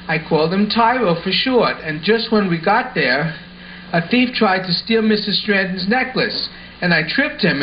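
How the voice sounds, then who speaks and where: A man playing an earnest, excitable schoolboy who explains things eagerly in an amateur puppet show. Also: sits high, close to an everyday voice. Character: Lance, earnest excitable schoolboy puppet spinning wild tales. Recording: muffled audio with faint background noise.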